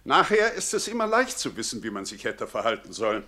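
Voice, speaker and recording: An actor playing a self-justifying town doctor who speaks formally and pompously in a stage monologue. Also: male voice, mid-range voice, big pitch swings, brisk, pushed and bright. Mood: self-righteous.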